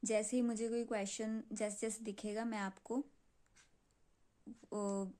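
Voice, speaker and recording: A woman's voice: fairly high pitch, little pitch movement, measured pace, warm tone. A young woman with a North Indian accent chats casually to her subscribers in an informal way, repeating phrases for emphasis. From Punjab, India. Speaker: video creator selling clay. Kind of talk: casual chat to subscribers, Hindi mixed with English.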